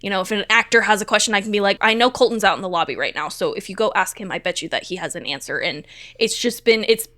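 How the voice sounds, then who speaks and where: A woman with a bright, lively-edged voice at a middle pitch talks as an interview guest. She is effusive and emphatic, leaning on her words in casual conversation. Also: fast. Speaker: theatre stage manager, interview guest. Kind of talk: casual chat.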